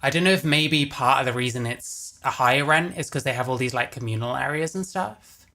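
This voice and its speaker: A man's voice: medium pitch, a little fast, sharp tone. A man giving casual live commentary as a streamer reacting to another stream.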